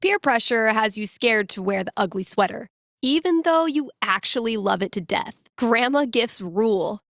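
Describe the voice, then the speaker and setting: An actress playing a wry, playful young radio DJ who tells fortunes to callers in a conversational, mock-solemn way in a video game. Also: female voice, a little high, lively intonation, moderate pace, a bit bright, dry recording, studio quality. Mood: bright, playful. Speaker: radio host. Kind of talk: video game dialogue as late-night radio call-in show.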